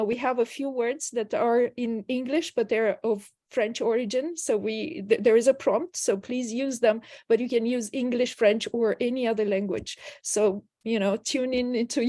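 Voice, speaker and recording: A woman's voice: fairly high pitch, no echo, clean studio sound. A woman from Romania, hosting a video call and giving enthusiastic thanks and announcements. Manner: enthusiastic, warm thanks with frequent fillers. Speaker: host from the organizing cultural institute.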